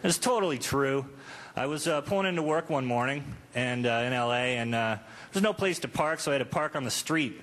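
A man's voice: medium pitch, expressive, brisk, a bit bright. A man in his thirties doing a conversational, crude, self-deprecating stand-up comedy routine. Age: 30s. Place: live comedy show before an audience. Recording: live club recording, noisy audience. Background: audience noise and crowd ambience.

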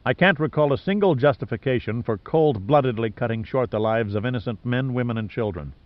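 A man's voice: mid-range voice, fairly quick, no echo, dull audio, lightly acted. A man voicing a principled, defiant male hero in an audiobook, blunt and accusing. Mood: cold accusation. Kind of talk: audiobook character dialogue.

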